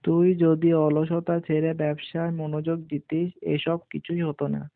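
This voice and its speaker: A man's voice: on the high side, little pitch movement, moderate pace, phone-quality sound, close to an everyday voice. A man voicing an ageing, weary, stern but caring shop-owner father in a cartoon, in an admonishing way. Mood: scolding. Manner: admonishing, instructing.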